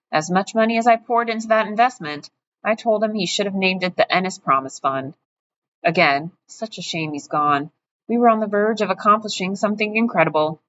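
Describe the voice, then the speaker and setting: Pompous rich guy bragging, woman voicing him, audiobook, low and a bit muffled. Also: a bit bright. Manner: self-important, boastful. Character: smug, pompous wealthy middle-aged male donor. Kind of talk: audiobook reading. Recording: dull, muffled audio with faint hiss.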